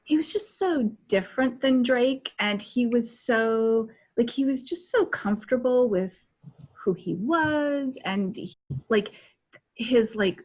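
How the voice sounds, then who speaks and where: A woman with a fairly high voice co-hosts a casual book chat. She is effusive, often repeating words and starting phrases over. She speaks from a room at home over a video call. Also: a little mellow. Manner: effusive, halting and repetitive. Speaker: podcast co-host. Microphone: video call microphone.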